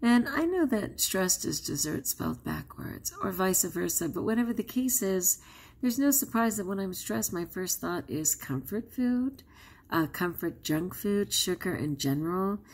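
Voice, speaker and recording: Woman vlogger, non-native English speaker, chatty and candid, gravelly fry in the voice. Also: medium pitch, sing-song, measured pace, darkish tone. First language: not English. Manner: candid and chatty, with frequent laughs. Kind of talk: casual vlog talk to camera.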